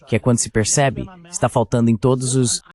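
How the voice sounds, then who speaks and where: A man's voice: medium pitch, fairly even intonation, brisk, a little mellow. A man giving an insistent, argumentative voice-over translation of a guest on a discussion panel.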